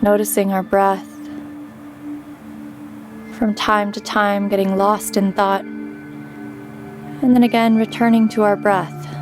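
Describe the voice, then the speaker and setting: A woman leading a guided meditation with calm, gentle instruction and pauses between phrases. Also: mid-range voice. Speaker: meditation guide, yoga teacher. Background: background music.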